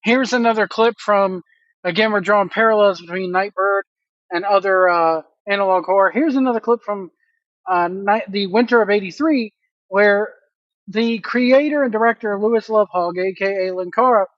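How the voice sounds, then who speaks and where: A man hosting a casual commentary video, speaking haltingly as he searches for words. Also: sits high, no echo. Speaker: host. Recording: dry, dull lo-fi microphone.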